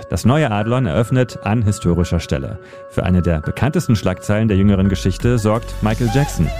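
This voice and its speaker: Man, scripted radio history narration, lowish voice, measured storytelling, clear instrumental music bed.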